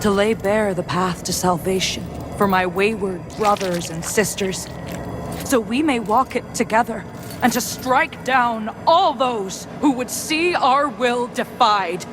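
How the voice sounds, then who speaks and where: A woman playing a devout, stern holy warrior in a dark fantasy game, speaking in a reverent, formal and deliberate way. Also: mid-range voice, noisy background, lightly acted. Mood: fervent prayer. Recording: loud game music behind.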